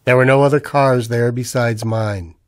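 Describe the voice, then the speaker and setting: A man's voice: medium pitch, fairly even intonation, moderate pace, slight background noise, lightly acted. A man playing a wary, hard-up army-veteran husband in a radio drama, with a sardonic, colloquial delivery. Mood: wry, uneasy. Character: broke, wary army-veteran husband narrating his noir misadventure. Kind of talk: old-time radio drama acting and first-person narration.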